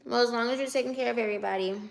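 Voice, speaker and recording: A woman's voice: a little high, very fast, creaky. A woman in her twenties chatting on a livestream, teasing and laughing as she answers viewer comments aloud. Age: 20s. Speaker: livestreamer reading chat. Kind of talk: casual live chat.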